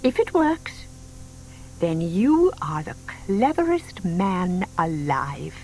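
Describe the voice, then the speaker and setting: A woman's voice: fairly low pitch, very animated, slow pace, a little mellow, slight background noise, full character voice, rough recording. An actress playing a genteel, doting older British lady in an audio drama, gushing, fussing and polite. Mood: trusting, hesitant.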